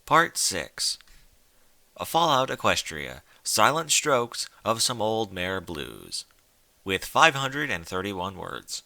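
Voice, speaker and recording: A man reading stories aloud in a theatrical, deliberate style of dramatic scripted narration. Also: on the low side, sing-song, creaky, sharp tone, dry recording. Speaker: story reader.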